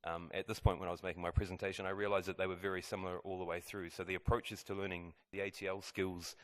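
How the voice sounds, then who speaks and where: A man's voice: low voice, little pitch movement, quick pace, a bit bright. A man who heads a school maths department, steadily explaining the curriculum to parents in a school presentation room.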